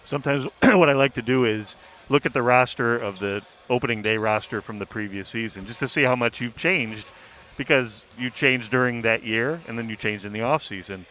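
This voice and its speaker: A man's voice: mid-range voice, moderate pace, sharp tone. A man talking analytically as a beat writer on a sports panel, listing examples to make his points. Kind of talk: pre-game sports panel discussion. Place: sports arena. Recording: faint venue noise.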